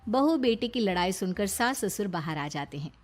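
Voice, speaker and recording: A woman's voice: medium pitch, expressive, normal speed, faint music behind. A woman storyteller reading scripted narration for a moral story.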